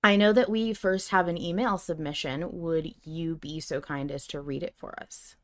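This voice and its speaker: A woman hosting a casual fan podcast, talking in an animated, opinionated, conversational way. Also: sits low, normal speed. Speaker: podcast host.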